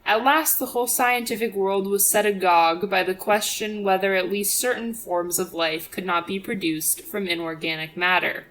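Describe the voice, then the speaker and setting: A woman's voice: mid-range voice, fairly even intonation, normal speed, hardly any pauses, a bit bright, rough recording. A woman reading a religious apologetics audiobook as scripted narration, in a formal, expository manner. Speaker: audiobook reader.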